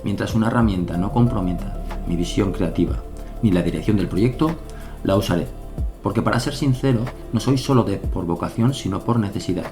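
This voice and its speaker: A man with an accent from Spain reads his devlog narration in a low, steady voice. He is earnest and plain-spoken, setting out his points one by one. Clear background music plays beneath him. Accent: Spain Spanish. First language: Spanish. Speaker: solo game developer.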